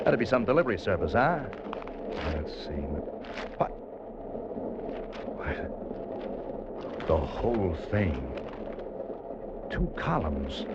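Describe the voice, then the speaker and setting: Hard-boiled male adventurer-narrator, low and clipped, vintage radio drama sound, dull and music-backed. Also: big pitch swings, slow, long pauses, very noisy. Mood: wry, tough. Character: hard-boiled, wisecracking male adventurer telling his own story. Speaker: actor narrating as the hero. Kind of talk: 1940s-50s radio drama acting. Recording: muffled old radio recording, loud music.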